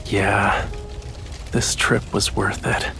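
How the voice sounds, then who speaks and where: An actor playing a tender, teasing young male artist in a romantic game, speaking in a soft, intimate, unhurried way. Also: on the low side, level tone, vocal fry, faint music behind, heavily acted. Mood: relaxed, wry.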